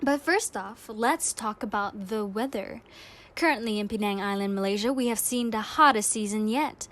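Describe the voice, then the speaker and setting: A woman's voice: mid-range voice, normal speed, a bit bright. A woman in her twenties hosting a podcast with upbeat, scripted radio-show narration. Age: 20s. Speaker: podcast host. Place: indoors, air-conditioned room in Malaysia.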